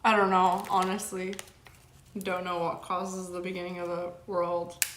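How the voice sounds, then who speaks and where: A woman's voice: fairly low pitch, monotone, measured pace, a bit bright, reverberant, a little hiss or hum. A young woman hosting a casual livestream, reading chat aloud and rambling with lots of fillers. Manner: rambling, filler-heavy, frequent "like". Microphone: camera mic.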